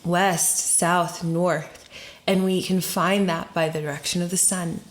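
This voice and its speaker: A woman with a slightly low voice talks as a guest on a podcast. She explains her ideas conversationally and reflectively. Her phrasing is informal and exploratory, dotted with rhetorical questions. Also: steady tone, unhurried. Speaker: podcast guest, story doula and facilitator.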